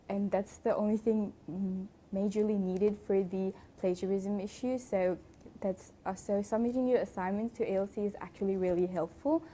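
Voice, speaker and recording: Young woman, relaxed warm tone, earnest student testimonial. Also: medium pitch, slight background noise. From Nepal. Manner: earnest, explanatory, occasional light laughs. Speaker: accounting student. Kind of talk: casual testimonial.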